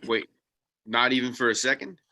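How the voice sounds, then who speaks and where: A man's voice: mid-range voice, flat delivery, moderate pace, pushed and bright. A man acting an upbeat, earnest UFO-hunting sidekick who consoles and encourages, in a comedy table read. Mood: consoling, upbeat.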